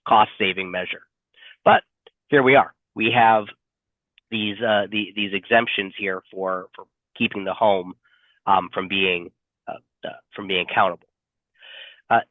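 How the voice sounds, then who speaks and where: A man with a stuffy head cold explains legal points in a halting way, full of ums and restarts. His voice comes through a tinny, phone-like channel. The sound is dry, with no room echo at all. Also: mid-range voice. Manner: hesitant, many fillers and restarts. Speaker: attorney. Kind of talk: explanatory webinar presentation.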